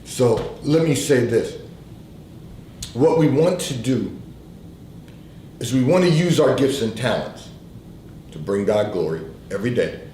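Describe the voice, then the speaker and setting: A man hosting a faith advice livestream, giving spoken devotional guidance in a slow, repetitive preaching cadence, hoarse from allergies and clearing his throat. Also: medium pitch, animated, warm tone, echoey room, slight background noise, rough recording.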